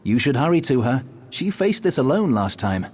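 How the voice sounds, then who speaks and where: An actor playing a whimsical male storyteller in a video game, arch, amused and theatrical, with a husky voice. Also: mid-range voice, sing-song, moderate pace, darkish tone, a little hiss or hum, close to an everyday voice. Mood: explaining, urging. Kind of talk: video game character dialogue.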